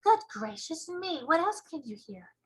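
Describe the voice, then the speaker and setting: Casting: woman to play a courteous young storybook girl, curious and soft-spoken, high light voice. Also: sing-song, unhurried, heavily acted. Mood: amazed. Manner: polite, questioning, proper speech.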